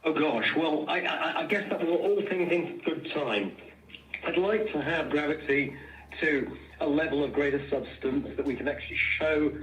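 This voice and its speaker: A man's voice: a little high, normal speed, a bit bright, slight background noise. Echoey, distant man on an earnings call. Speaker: company executive. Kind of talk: answering questions on an earnings call. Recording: muffled, echoey room, distant microphone.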